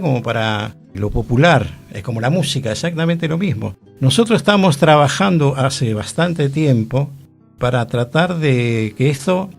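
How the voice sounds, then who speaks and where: A man's voice: mid-range voice, vocal fry. A man, explaining matter-of-factly on a radio talk show. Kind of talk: radio conversation. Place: radio studio.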